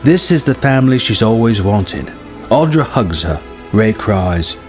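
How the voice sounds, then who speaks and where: A man's voice: fairly low pitch, big pitch swings, unhurried, a little mellow, faint music behind. A man reading a scripted story treatment aloud as a narrator, with emphatic, dramatic storytelling delivery.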